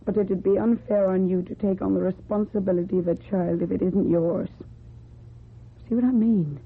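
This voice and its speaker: A husky, timid young woman. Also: mid-range voice, fairly even intonation, normal speed. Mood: subdued, sincere. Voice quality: husky, breathy. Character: timid, guarded young runaway woman confessing her past. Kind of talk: old-time radio drama acting. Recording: muffled, phone-like old radio recording.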